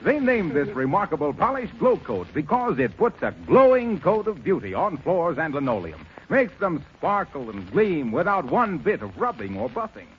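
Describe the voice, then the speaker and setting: Formal butler, deferential, polished, raised pitch, vintage 1930s radio recording with faint noise. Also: male voice, wildly swooping pitch, moderate pace, hardly any pauses, dull audio. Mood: smooth selling. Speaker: announcer playing a butler. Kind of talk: 1930s radio comedy acting and sponsor pitch.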